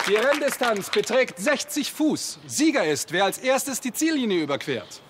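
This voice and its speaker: A man's voice: extremely high pitch, big pitch swings, normal speed, a crowd in the background. An actor playing a cheerful middle-aged male schoolteacher in a TV drama, announcing a contest to a group in a theatrical, instructive way. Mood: cheerfully announcing. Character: cheerful male schoolteacher staging a Roman chariot race. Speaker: teacher leading a school contest. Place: outdoor courtyard. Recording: outdoor courtyard with background noise.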